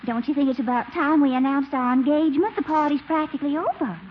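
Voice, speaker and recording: An actress playing a coy, clingy fiancée in 1940s radio comedy, cooing and coaxing insistently. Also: female voice, high-pitched, fast, natural voice. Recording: muffled, noisy 1940s radio recording.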